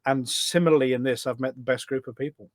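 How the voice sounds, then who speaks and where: A man's voice: mid-range voice, steady tone, fast, no room sound. Man telling casual anecdotes, dry close sound. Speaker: podcast guest.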